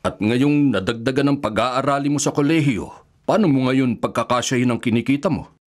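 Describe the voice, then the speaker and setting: A male actor plays an older Filipino bachelor in a radio drama, with a medium-pitched voice. He questions frankly and with sympathy, as a concerned brother would. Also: fairly quick, lightly acted. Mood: worried.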